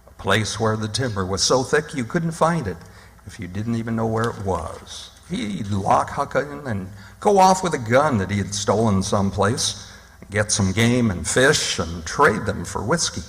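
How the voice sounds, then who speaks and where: A man acting as the narrator of a radio play, telling the story in a measured, plain, scripted way. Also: lowish voice, very animated, vocal fry, a bit bright, full character voice. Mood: grave storytelling. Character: storybook narrator of a river tale. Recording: long hall reverb.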